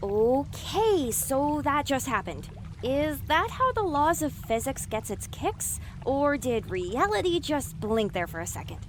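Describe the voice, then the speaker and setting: A woman's voice: sits high, big pitch swings, measured pace. A woman voicing a young female pony in an audio drama, wry, sarcastic and exasperated. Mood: sardonic.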